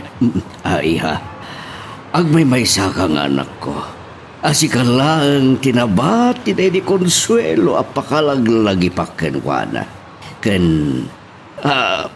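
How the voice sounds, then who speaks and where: A man's voice: medium pitch, a little hiss or hum. A man with an Ilocano accent acting a doting, hesitant middle-aged father in a radio drama. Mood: flustered.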